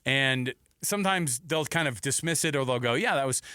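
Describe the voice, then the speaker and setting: A man co-hosting a sports talk show, chatting casually in a rambling, excitable way and acting out quotes. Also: mid-range voice, normal speed, clear, resonant tone, no room sound, clean studio sound.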